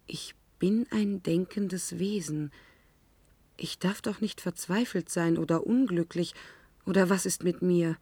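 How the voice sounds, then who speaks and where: An actress playing an anguished, isolated young woman who narrates her ordeal in a German science-fiction radio drama, deliberate and dramatic. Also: fairly low pitch, dark tone, no room sound.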